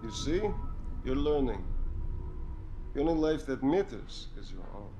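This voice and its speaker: An actor playing a cynical, callous mercenary villain in a video game, mocking and condescending. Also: male voice, mid-range voice, lively intonation, unhurried, background noise, close to an everyday voice. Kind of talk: cinematic video game acting.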